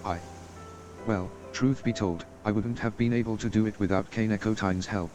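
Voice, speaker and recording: A man's voice: lowish voice, fairly even intonation, normal speed, natural voice. An actor playing a timid young male adventurer, speaking earnestly and hesitantly, with game music behind him. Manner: earnest, hesitant, self-reproaching. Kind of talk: game voice acting.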